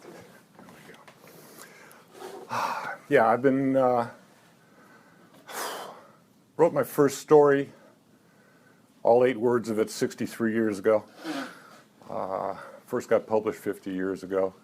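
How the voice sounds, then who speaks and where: A man in his seventies at a live poetry reading, talking haltingly between poems with dry, self-mocking asides and lifting his voice for the verse. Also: mid-range voice, fairly even intonation, darkish tone. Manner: halting talk with many "uh" fillers. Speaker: poet.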